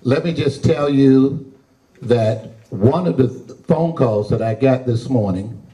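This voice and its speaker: An older man hosting a library talk in a folksy, unhurried manner to a seated audience. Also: medium pitch, mellow, far from the mic, rough recording. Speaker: historian and lecture host. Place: large library room with an audience. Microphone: handheld mic at a podium.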